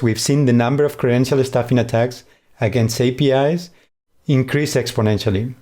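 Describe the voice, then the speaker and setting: A man with a Spanish accent presenting a scripted conference talk in a measured way. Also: medium pitch, warm tone. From Spain. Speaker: conference speaker.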